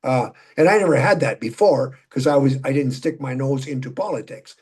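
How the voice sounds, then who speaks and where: A man's voice: medium pitch, a little fast, darkish tone, husky. A man in his seventies with a Minnesotan accent, chatting in a folksy, talkative way as a political candidate in a casual conversation. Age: 70s. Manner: folksy, chatty, laughs while talking.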